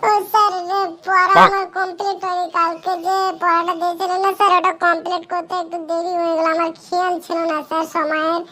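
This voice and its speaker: A little schoolgirl acted by a woman from a comedy cartoon, polite and full of excuses. The voice is extremely high and flat, rattling along in a rote way over loud noise. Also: fast, few pauses. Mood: polite, apologetic. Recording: very noisy recording with clicks.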